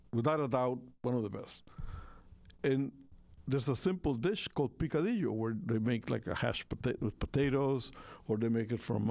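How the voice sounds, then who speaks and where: A man's voice: medium pitch, creaky, a little mellow, no echo. A man from Rica, co-hosting a casual radio talk show and searching for words with many restarts. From Costa Rica.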